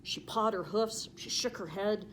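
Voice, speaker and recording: An American woman reading a children's storybook aloud with expressive, emphatic, sing-song rhyming delivery. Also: mid-range voice, measured pace, a little hiss or hum, rough recording.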